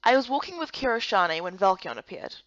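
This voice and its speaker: A woman's voice: mid-range voice, very animated, brisk, no room sound, lo-fi sound. A woman reading scripted story narration from a fantasy visual novel game. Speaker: narrator and voice performer.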